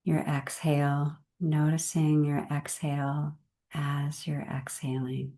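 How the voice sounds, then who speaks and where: A woman leading a guided meditation in a gentle, unhurried way, with measured phrases and long pauses. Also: low-pitched, flat delivery.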